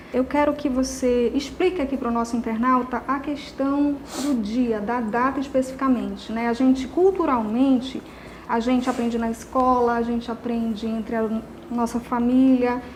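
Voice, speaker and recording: A woman interviewer asking questions in a polite, measured way. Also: fairly high pitch, slight background noise, low-quality recording.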